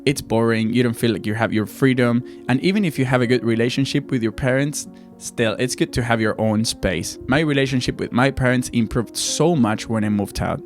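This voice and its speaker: A man's voice: medium pitch, a little fast. Young Argentinian man over guitar music. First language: Spanish. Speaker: video host, musician. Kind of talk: casual advice talk to viewers.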